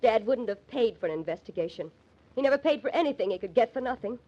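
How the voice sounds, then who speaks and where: A woman's voice: fairly high pitch, expressive, fast talker, dark tone. An actress with an American accent playing a determined, accusing young woman in an old-time radio drama. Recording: dull old radio recording.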